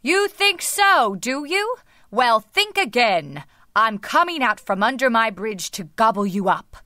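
A woman's voice: on the high side, big pitch swings, a little slow, pushed and bright, no echo, clean studio sound, full character voice. A woman voicing a great ugly troll, hungry, crabby and threatening, roaring in a children's story. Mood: challenging, angry.

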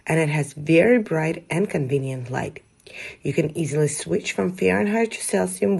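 A woman reading a scripted product voice-over, steady and explanatory as she walks through a gadget's features. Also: low-pitched, creaky, slightly rough. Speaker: product demo narrator.

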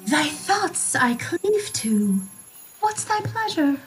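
Woman as a very high-pitched mischievous airy spirit. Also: slow, soft background music, exaggerated voice, poor audio. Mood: eager obedient. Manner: animated, relishing storytelling. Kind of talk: Shakespearean radio play acting.

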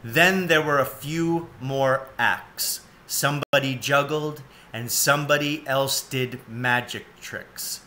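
A man's voice: mid-range voice, slow, hardly any pauses, bright, forceful tone, slight background noise. A man in his forties reading a children's story aloud as narrator. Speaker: storybook reader. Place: cluttered indoor room at home, seated.

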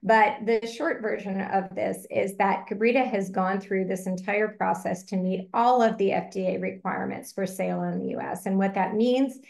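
A middle-aged woman pediatrician giving a webinar presentation over a video call, explaining things clearly and earnestly. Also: mid-range voice, fairly even intonation, normal speed, hardly any pauses, darkish tone. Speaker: pediatrician, medical advisor.

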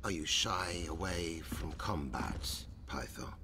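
Very deep, gravelly warrior novice. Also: male voice, slow. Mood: earnest challenge. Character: proud, glory-hungry young male space marine novice. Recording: noisy, cavernous reverberant hall.

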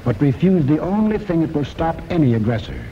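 Dramatic 1940s newsreel-style man, high-pitched and animated. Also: fairly quick, background noise. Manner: dramatic, emphatic, rhetorical. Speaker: documentary narrator. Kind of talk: 1940s wartime propaganda film narration. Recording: muffled old film soundtrack, loud music.

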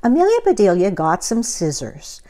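A woman in her sixties reading a picture book aloud to children in a deliberate manner, with asides to the listener. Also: mid-range voice, huge pitch swings, mellow. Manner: deliberate, asks the listener questions. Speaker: children's storyteller. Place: living room, indoors.

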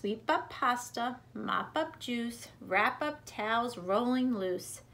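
A woman reads a children's picture book aloud in an expressive, rhythmic, deliberate way for young listeners. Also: on the high side. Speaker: preschool teacher reading aloud. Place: bedroom at home.

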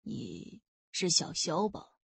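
Woman doing a gentle, low-pitched old man. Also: very animated, vocal fry, breathy edge, dry recording, full character voice. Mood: warm, fond. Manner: slow, gentle. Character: kindly limping old man, mild and smiling.

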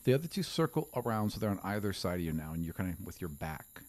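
A man's voice: low-pitched, sing-song, fast, no echo. A man in his thirties narrating as game master in an easygoing way, on a studio mic. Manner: easygoing, lots of fillers. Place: studio set with a desk. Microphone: studio mic on a stand.

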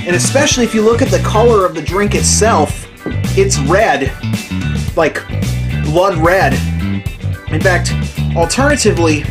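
A young man casually presenting a how-to cooking video to the camera as its host. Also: high-pitched. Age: young adult. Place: home kitchen, indoors.

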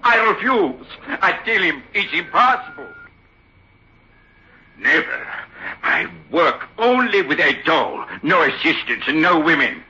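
A man's voice: on the high side, very animated, unhurried, bright, forceful tone, heavily acted. A man playing a tormented, paranoid stage ventriloquist in an old radio drama, agitated, in emphatic broken English. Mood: frantic, accusing. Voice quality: gravelly, very hoarse. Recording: dull lo-fi old radio recording.

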